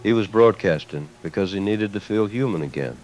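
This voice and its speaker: A man's voice: fairly low pitch, expressive, brisk, a little mellow, faint music behind, low-quality recording. A man playing a weary, grim resistance base commander in a post-apocalyptic radio drama. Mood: weary despair. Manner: grim, grave, burdened.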